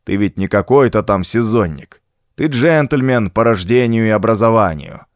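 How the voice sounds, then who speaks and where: A man voicing a frightened, bullying old man in an audiobook, hurried, nervous and imperious. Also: fairly low pitch, expressive, no room sound, studio quality, close to an everyday voice. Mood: pushy, impatient.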